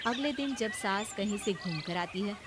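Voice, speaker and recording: A woman's voice: medium pitch, expressive, moderate pace. Woman narrating a children's story, noisy background.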